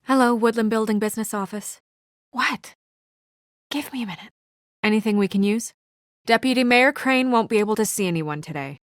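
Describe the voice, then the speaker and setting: An actress playing a brisk, guarded young female office assistant in a noir video game drama, speaking in a crisp, businesslike, dry manner. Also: on the high side, no echo, close to an everyday voice. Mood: polite, brisk.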